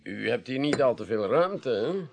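A wry investigator in an eighties radio drama. His voice is creaky and mid-pitched, and he questions in a dry, musing way. Some faint noise sits behind him. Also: lively intonation, moderate pace. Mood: polite, probing. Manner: dry, musing, punctuated with hums. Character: wry, unhurried male investigator questioning a witness. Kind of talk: 1980s radio play acting.

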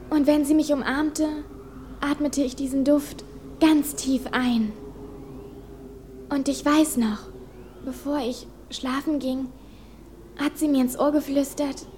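Casting: sensitive teen girl for audio drama, high youthful voice, earnest and expressive. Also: slight background noise. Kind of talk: German radio play acting.

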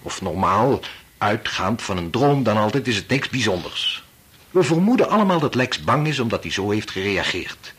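A weary doctor husband in a Dutch radio drama. His voice is very husky, with animated intonation. He is patronising and dismissive, explaining everything away. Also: fairly low pitch, moderate pace, full character voice. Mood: firm, lecturing. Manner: dismissive, reasoning, sighing interjections. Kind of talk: Dutch radio play acting.

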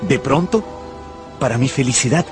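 A man acts an earnest, lovestruck young narrator in a radio drama. His mid-pitched voice moves expressively up and down over clearly audible music. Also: lively intonation, normal speed, close to an everyday voice. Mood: wistful narration. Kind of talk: first-person radio drama narration and dialogue.

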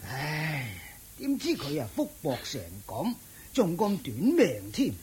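An actor playing an anxious rich old father in a Cantonese radio drama, sighing and lamenting in a drawn-out Hong Kong Cantonese delivery. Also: a little high, wildly swooping pitch, darkish tone, slightly rough, exaggerated voice. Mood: troubled, regretful.

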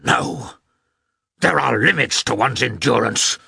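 A man's voice: mid-range voice, sing-song, moderate pace, fairly bright tone, no echo, clean studio sound, voice pushed up. A man voicing a proud young officer who talks in a clipped, tense way, holding back anger, in an audiobook reading. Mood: exasperated. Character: proud young male officer, strained and restrained.

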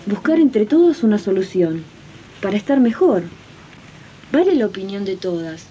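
A spirited Argentine domestic worker played by a woman in an eighties radio play. She chats companionably in a mellow, medium-pitched voice on a dull old archive recording with faint noise. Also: sing-song, moderate pace, natural voice. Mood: encouraging. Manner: colloquial, companionable, addresses listeners. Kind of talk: 1980s community radio drama acting.

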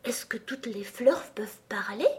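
A woman voicing a curious, polite young girl who is easily astonished, in an expressive children's storybook reading. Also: a little high, normal speed, pitched above the performer's own voice, close to an everyday voice. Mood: timid.

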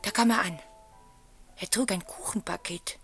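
An actress playing a wounded, emotional wife in a German radio play, dramatic, dropping into hushed tones. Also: female voice, medium pitch, normal speed, soft background music, close to an everyday voice. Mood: hurt, agitated.

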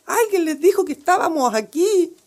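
A woman's voice: very high voice, normal speed. A woman acting a feisty, wary young student activist in a radio drama, with a colloquial Chilean accent. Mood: alarmed. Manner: colloquial, animated.

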